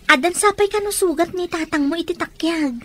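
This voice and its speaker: High-pitched woman, Ilocano Filipino accent, acting as questioning young family member, radio drama. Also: a little fast. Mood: concerned.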